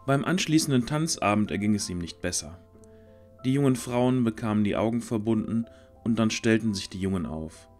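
A man narrating a scripted fantasy audio drama in a measured storytelling manner. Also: on the low side, lively intonation, a little mellow, soft background music.

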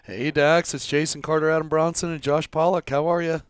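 A man's voice: on the high side, little pitch movement, fairly quick, clear, resonant tone. A middle-aged man co-hosting a podcast in a casual, bantering way on a headset mic. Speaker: podcast co-host. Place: small wood-panelled room, around a table.

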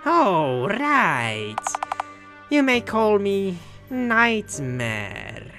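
A performer voicing a menacing raven-headed masked killer in a visual novel, speaking in a formal, coldly polite, measured way. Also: medium pitch, big pitch swings, music in the background. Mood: matter-of-fact menace. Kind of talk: visual novel villain voice acting.

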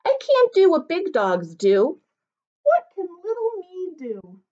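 A woman's voice: very high-pitched, huge pitch swings, slow pace, dark tone, voice pushed up, exaggerated voice. Woman voicing Norbert, a wistful tiny dog. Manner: plaintive, sing-song storybook voice. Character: tiny three-pound male dog, wistful and small. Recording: dull lo-fi recording.

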